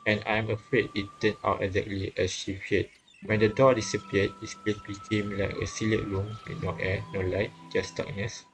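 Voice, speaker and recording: A man reading and translating a visual novel aloud, haltingly, often correcting himself and repeating phrases. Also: on the low side, flat delivery, noisy background. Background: game music.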